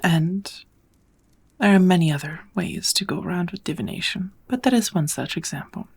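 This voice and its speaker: A woman playing a kind, patient witch who teaches magic in an ASMR roleplay, speaking softly and often in a whisper, in a gentle, measured, encouraging way. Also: lowish voice, exaggerated voice. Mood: calm, instructive. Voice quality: soft, breathy, often whispered.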